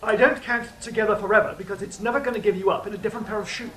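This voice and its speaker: A woman performs a jaded, proud trickster angel in a stage-style drama. Her mid-pitched voice is dry and sardonic. There is some background noise behind her. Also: fairly even intonation, racing, close to an everyday voice. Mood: mock indignant.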